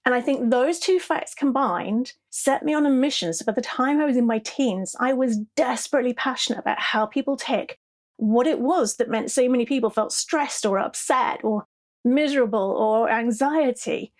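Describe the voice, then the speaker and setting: Woman, English accent, middle-aged, fairly high pitch, animated storyteller, podcast guest. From southeast England. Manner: animated, laughs often, tags sentences with "yeah?". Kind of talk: conversational storytelling interview.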